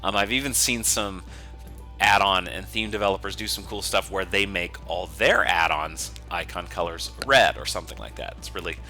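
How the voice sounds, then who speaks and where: A man narrating an informal software tutorial in a conversational, explanatory way. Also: low voice, normal speed, soft background music. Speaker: software developer teaching a tutorial.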